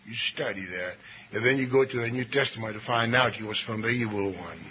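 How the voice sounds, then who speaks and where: A man preaching a sermon, swinging between low asides and raised exclamations and reading scripture slowly and deliberately. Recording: dull lo-fi recording with faint noise.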